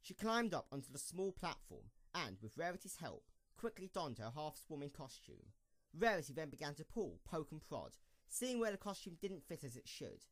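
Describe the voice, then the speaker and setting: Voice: mid-range voice, sing-song, moderate pace, dry recording. A narrator reading scripted storybook-style narration for an amateur audio drama.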